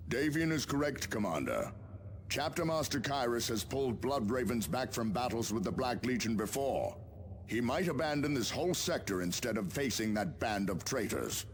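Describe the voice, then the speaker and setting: An actor playing a grave space marine officer who gives formal, measured mission briefings in a video game. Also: male voice, medium pitch, lively intonation, a little hiss or hum, natural voice. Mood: earnest, concerned.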